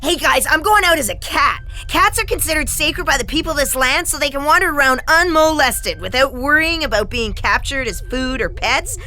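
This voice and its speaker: A woman's voice: high voice, expressive, normal speed, few pauses, sharp tone, soft background music. A woman playing a chipper shapeshifting alien who poses as a cat, in a comic sci-fi audio drama. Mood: cheerful.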